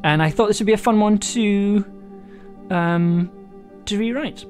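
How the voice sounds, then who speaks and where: A live streamer and audio drama writer chatting casually with viewers and reading writing prompts aloud, full of fillers and restarts and quick to laugh. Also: mid-range voice, a little mellow, faint music behind. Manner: hesitant, many fillers and restarts, laughs easily.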